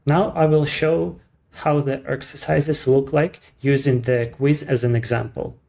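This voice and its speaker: A man with a Ukrainian accent, a native Ukrainian speaker, walking through a software demo in English in a measured, explanatory way. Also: mid-range voice, steady tone, creaky. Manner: measured, explanatory, slightly non-native phrasing. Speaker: app creator presenting his service. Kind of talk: screen-recorded software walkthrough.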